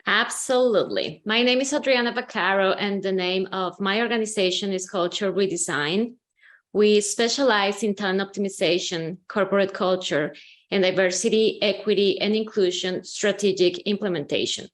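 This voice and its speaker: Colombian-born woman consultant explaining steps. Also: medium pitch. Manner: measured, explanatory, frequent um fillers. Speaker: consultant, company CEO. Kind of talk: webinar presentation.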